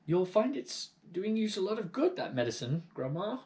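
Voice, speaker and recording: A man's voice: sits high, big pitch swings, moderate pace, a little mellow, pitched above the performer's own voice. A man voicing a polite, slightly cheeky young boy in a storybook reading. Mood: earnest. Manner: earnest, mildly cheeky. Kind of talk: storybook read-aloud character voice.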